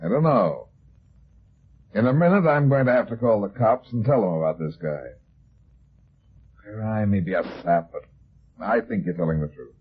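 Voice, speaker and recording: An actor playing a tough, shrewd private detective in a 1950s radio drama, speaking in blunt, terse questions. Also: male voice, on the low side, lively intonation, moderate pace, long pauses, slightly rough. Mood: tough, probing. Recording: muffled, thin vintage 1950s radio recording.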